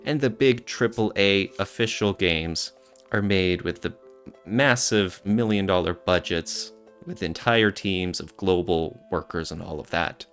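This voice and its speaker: A man's voice: sits low, unhurried, fairly bright tone, soft background music. A man talking casually and explaining things as a podcast co-host.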